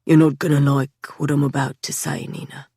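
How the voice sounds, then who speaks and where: A woman reading an audiobook, voicing a tired, protective young man in a low, weary, gently teasing voice. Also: level tone, normal speed, breathy edge, no echo, studio quality.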